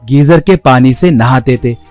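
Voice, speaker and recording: A man's voice: medium pitch, measured pace, a little mellow, soft background music. A man narrating a scripted children's story.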